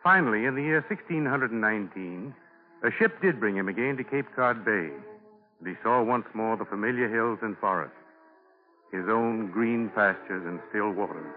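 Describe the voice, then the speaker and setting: A man narrating a 1940s radio drama in a formal, measured storytelling style. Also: lowish voice, lively intonation, faint music behind. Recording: narrow-band 1940s radio broadcast.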